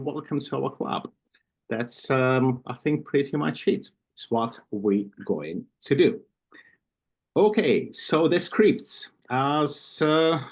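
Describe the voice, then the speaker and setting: A man with a Ukrainian accent reading an induction script on a video call, slowly and carefully, with asides and small self-corrections. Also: on the high side, a little mellow. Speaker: club vice president of membership. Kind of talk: reading an induction ceremony script at an online club meeting.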